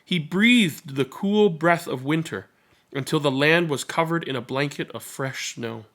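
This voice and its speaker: A man's voice: on the high side, measured pace, a bit bright. Man reading aloud. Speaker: storybook reader and channel host. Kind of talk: children's read-aloud narration with casual commentary.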